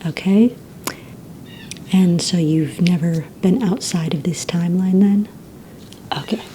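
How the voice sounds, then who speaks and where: A woman whispering softly and breathily in an ASMR roleplay, playing a polite receptionist who asks questions in a gentle, hesitant manner. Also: lowish voice, slow pace, slight background noise. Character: courteous female villain recruitment receptionist.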